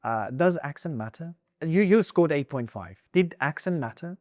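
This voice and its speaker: A woman's voice: low-pitched, lively intonation, moderate pace, darkish tone, dry recording, studio quality. A woman hosting an interview, asking eager questions and giving short affirmations. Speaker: host, interviewer. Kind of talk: casual interview conversation.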